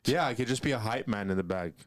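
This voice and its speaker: A man's voice: lowish voice, expressive, fast, no room sound. A man co-hosting a livestream, joking and teasing in casual chat. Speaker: co-host.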